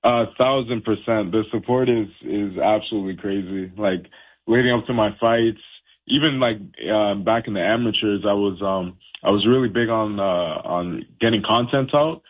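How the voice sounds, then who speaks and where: A man's voice: lowish voice, normal speed. A young man from Haiti, a professional boxer, talking casually in an interview with lots of fillers and restarts. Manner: laid-back, many fillers and restarts. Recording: tinny phone line.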